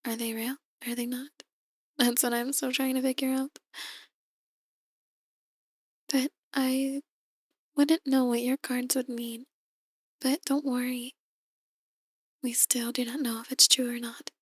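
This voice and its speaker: A seductive, possessive demon woman, voiced by an actress in an ASMR roleplay. Her voice is very high yet hushed and breathy, recorded dry and close. She coaxes slowly, often slipping into a whisper. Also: level tone, dry recording. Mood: gentle, mysterious. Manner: hushed, breathy, slow coaxing delivery. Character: soft-spoken seductive possessive female demon, outwardly a tarot reader.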